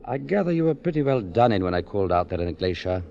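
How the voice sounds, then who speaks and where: An actor playing a thoughtful physician in a 1940s radio drama, measured, probing and persuasive, on a muffled old broadcast. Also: male voice, fairly low pitch, very animated, vocal fry, mellow, a little hiss or hum. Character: thoughtful, probing male physician and husband, formerly of London.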